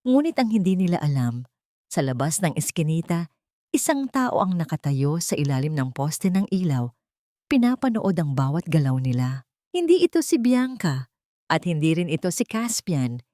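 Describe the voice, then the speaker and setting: A woman narrating a scripted dramatic story in a measured, theatrical storytelling manner. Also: low voice, big pitch swings, mellow, no echo, clean studio sound.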